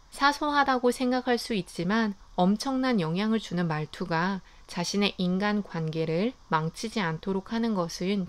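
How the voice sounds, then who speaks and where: This is a woman narrating a book review from a script. Her voice is smooth and resonant, at an ordinary middle pitch. She reads calmly and evenly, with no hurry. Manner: calm, even reading of summaries and reflections.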